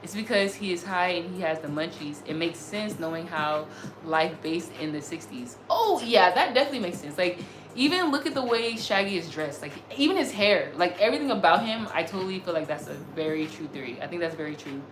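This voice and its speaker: A woman's voice: on the low side, expressive, fairly quick, a little hiss or hum. A woman of about thirty chatting casually on a livestream as its host, reading and answering comments in an earnest, effusive way, repeating herself as she goes. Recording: roomy echo, music behind the voice. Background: music.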